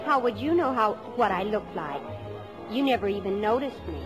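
A woman's voice: mid-range voice, animated, normal speed, over music, lightly acted. A woman playing an earnest, easily flustered American teenage daughter in a 1950s radio comedy. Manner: eager, hesitant, flustered. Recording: old radio broadcast.

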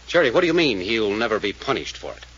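An actor playing a commanding, no-nonsense detective in an old radio mystery, brisk and authoritative. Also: male voice, on the low side, big pitch swings, a bit bright. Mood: firm, probing. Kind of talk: 1940s radio mystery acting. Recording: muffled, noisy old radio recording.

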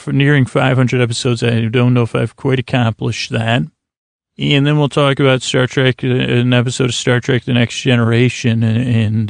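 A man hosting a bedtime podcast, rambling in a halting, meandering, self-deprecating monologue meant to lull listeners to sleep. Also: mid-range voice, vocal fry, no echo. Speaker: podcast host.

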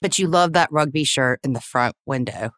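A woman's voice: a bass voice, animated, moderate pace, dry recording, studio quality. A woman in her forties from the American South with a Southern accent, co-hosting a casual chat in a chatty storytelling way. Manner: chatty, laughing, warm storytelling. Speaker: co-host, lawyer.

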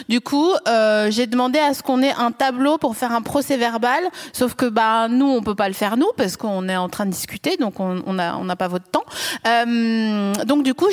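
A woman hosting a podcast recorded before a live audience, chatting in a playful, teasing way and laughing often. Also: fairly high pitch. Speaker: podcast host.

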